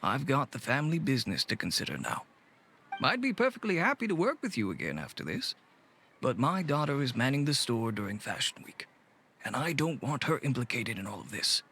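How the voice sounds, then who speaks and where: A man's voice: medium pitch, wildly swooping pitch, pushed and bright, a little hiss or hum, heavily acted. A weary middle-aged businessman. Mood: resigned. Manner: measured, world-weary, advising. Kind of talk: video game voice acting.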